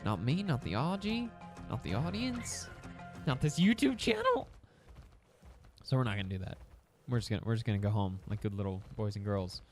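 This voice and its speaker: A man's voice: medium pitch, sing-song, normal speed, long pauses, faint music behind. A young man casually commentating a survival game, rambling to his in-game pets and his audience. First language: English. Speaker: gaming YouTuber. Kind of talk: casual let's-play commentary.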